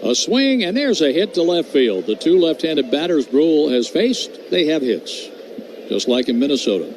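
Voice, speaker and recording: Man calling baseball play-by-play, noisy feed. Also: fairly high pitch. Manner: steady measured delivery of stats and calls. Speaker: play-by-play announcer. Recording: noisy broadcast audio.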